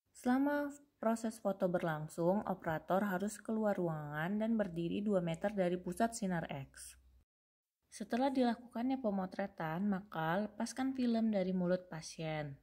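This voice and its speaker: A woman's voice: lowish voice, dark tone. A young adult woman, reading scripted instructional narration as a student presenter.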